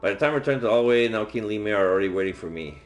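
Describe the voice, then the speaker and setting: A man with a slightly low voice reads game text aloud as a streamer. He mixes narration with casual asides, and faint game music sits behind him. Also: animated, rapid-fire. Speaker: streamer playing a visual novel.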